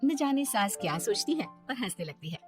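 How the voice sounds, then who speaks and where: A woman's voice: mid-range voice, big pitch swings, moderate pace, darkish tone, faint music behind. A woman narrator telling a cartoon moral tale in scripted storytelling style.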